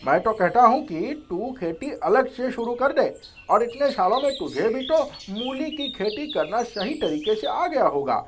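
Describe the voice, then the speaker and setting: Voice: mid-range voice, big pitch swings, normal speed, slight background noise, lightly acted. An actor playing a meddling village friend who eggs others on in a pushy, chiding way. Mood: nosy, goading. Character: meddling, goading village friend of a young farmer.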